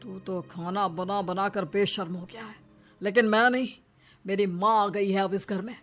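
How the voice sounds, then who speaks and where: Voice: mid-range voice, steady tone, moderate pace, fairly bright tone, lightly acted. An actor playing an overbearing, bullying middle-aged father-in-law in a story, sarcastic and domineering. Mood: resentful. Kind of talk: dramatised Hindi moral story. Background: music.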